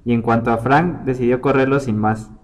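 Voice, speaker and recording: A man's voice: mid-range voice, fairly even intonation, brisk. Man narrating a short story.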